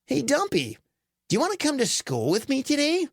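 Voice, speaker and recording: A woman's voice: high-pitched, huge pitch swings, normal speed, gravelly, no echo, clean studio sound, pitched above the performer's own voice, full character voice. A woman voicing a friendly, kind schoolgirl in a children's story, earnest and chatty. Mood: eager. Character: friendly, kind schoolgirl who loves her toys. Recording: music behind the voice.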